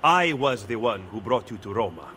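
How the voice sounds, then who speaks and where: A man's voice: on the low side, sing-song, normal speed, pushed and bright. A man playing a grave, eloquent assassin statesman in a video game drama. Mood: solemn. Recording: very echoey hall, some noise.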